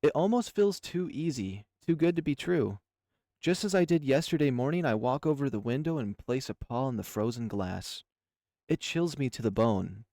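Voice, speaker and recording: A man narrates a visual novel in the first person. His voice is medium in pitch and expressive in delivery, set over clearly audible music. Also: moderate pace, no room sound, studio quality.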